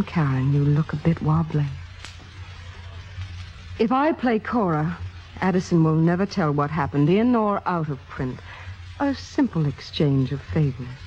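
An actress playing a young, ambitious, coolly calculating stage actress in a 1950s radio drama, poised and theatrical. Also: female voice, fairly low pitch. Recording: muffled vintage radio broadcast.

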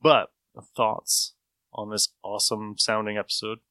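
A man's voice: lowish voice, flat delivery, a little slow, bright, forceful tone, clear, resonant tone, dry recording, clean studio sound. A man hosting a podcast in casual, conversational discussion. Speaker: podcast host.

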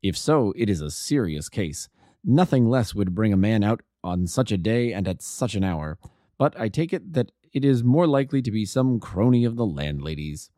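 A man voices an aloof consulting detective from a classic storybook. He speaks in a measured, matter-of-fact way, soft-edged and mellow, with a lowered pitch. The sound is close and dry. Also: very animated, dry recording. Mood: dry, dismissive. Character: calm, self-assured, aloof male consulting detective. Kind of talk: audiobook character dialogue.

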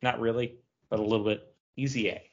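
A man's voice: mid-range voice, fairly even intonation, fairly quick. A man hosting a podcast trivia quiz in a casual, bantering style. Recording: dull lo-fi podcast audio.

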